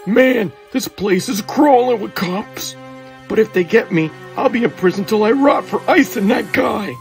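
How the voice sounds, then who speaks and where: A man's voice: high-pitched, unhurried, gravelly, faint music behind. A man voicing a desperate young fugitive who talks tough in street slang.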